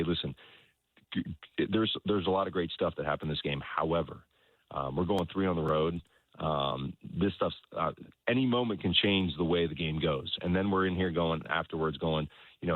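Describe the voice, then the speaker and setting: Low-pitched guy, soccer coach being interviewed, halting and repetitive, muffled phone-like sound. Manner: halting, repeats words and often checks in with "right?". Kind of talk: conversational sports interview, explaining his coaching philosophy. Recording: roomy echo, dull lo-fi sound.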